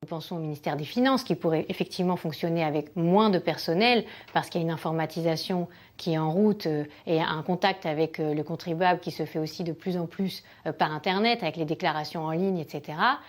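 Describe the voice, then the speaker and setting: A woman giving a measured, argued explanation as an expert interviewee. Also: low-pitched. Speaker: economist interviewee.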